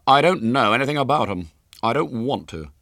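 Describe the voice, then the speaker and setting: A man's voice: medium pitch, animated, a little fast, fairly bright tone, dry recording, clean studio sound, voice pushed up. A man voicing a proud, scornful eleven-year-old boy in a storybook, blunt and stammering when cornered.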